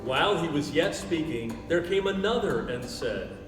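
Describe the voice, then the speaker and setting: A man's voice: a little high, lively intonation, normal speed. A man reading scripted narration for a dramatized Bible story. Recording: echoey hall, distant, clear music behind.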